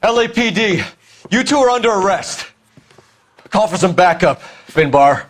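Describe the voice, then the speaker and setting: An actor playing an earnest 1940s police detective who asks measured, courteous questions. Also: male voice, sits high, lively intonation. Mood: commanding. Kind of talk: game cutscene voice acting.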